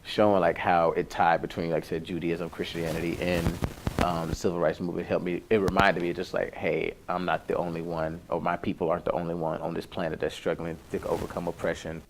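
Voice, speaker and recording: A man's voice: low voice, level tone, fairly quick, noisy background. A man, an actor being interviewed, talking casually and hesitantly with lots of "like". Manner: hesitant, heavy use of "like".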